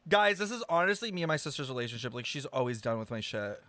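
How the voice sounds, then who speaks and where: A young man giving casual, gossipy, sweary reaction commentary as a game streamer, very expressive, on a close mic. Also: medium pitch, fast talker, no room sound.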